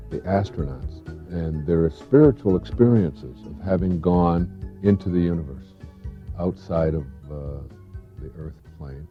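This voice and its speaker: Motivational speaker, male, low-pitched, earnest and reflective, emphasis rising and falling, dull dry tape recording. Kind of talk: reflective self-help lecture.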